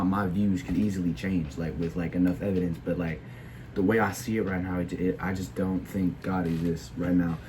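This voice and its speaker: Low-voiced teen boy, sick and halting. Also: background noise. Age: teens. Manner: hesitant, heavy with filler words and restarts. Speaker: video creator. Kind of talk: casual opinion monologue.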